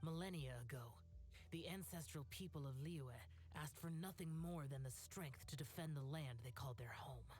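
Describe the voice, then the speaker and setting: A man's voice: on the high side, moderate pace, close to an everyday voice. A man voicing an ancient but youthful demon slayer in a game, stern and aloof, with formal, solemn diction. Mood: disdainful. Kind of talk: animated game voice acting.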